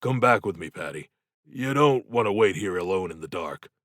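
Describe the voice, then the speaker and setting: A man's voice: deep voice, expressive, moderate pace, fairly bright tone, no echo, clean studio sound. A man reading an audiobook, voicing a wary, stolid city labourer in a guarded, plain-spoken manner. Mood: concerned. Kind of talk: audiobook character dialogue.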